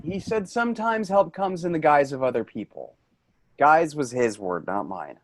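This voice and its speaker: A man's voice: a little high, animated, moderate pace. An embittered, defensive career man, acted by a man in a staged reading. The melody swings widely as the haunted worker pushes back against everyone. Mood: dry, subdued.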